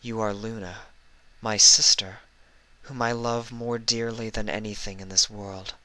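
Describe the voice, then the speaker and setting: A gentle pony princess and loving elder sister in a storybook, voiced by a man. The delivery is soft, clear and cooing, tender throughout. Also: lowish voice, flat delivery, measured pace, dry recording, pitched above the performer's own voice, low-quality recording.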